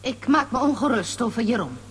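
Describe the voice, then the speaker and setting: A woman's voice: a little high, lively intonation, brisk, slight background noise, lightly acted. A woman voicing an anxious, fussing aunt who complains fretfully in a children's radio play. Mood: worried.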